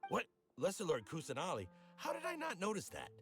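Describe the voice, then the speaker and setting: A man's voice: sits high, wildly swooping pitch, fairly quick, music in the background, heavily acted. A man playing an unobservant, muttering guard on patrol in a video game. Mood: startled.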